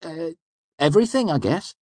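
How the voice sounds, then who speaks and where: A man's voice: fairly high pitch, expressive, moderate pace, mellow, no room sound, pitched above the performer's own voice. A man voicing an eager, earnest young male student in a storybook, polite and a little hedging. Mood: unsure.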